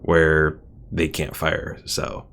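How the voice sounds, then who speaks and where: A man's voice: very deep voice, monotone, extremely slow, fairly bright tone, slight background noise. A young man casually commentating a strategy game stream, thinking aloud and explaining the mechanics as he plays. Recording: game music in background.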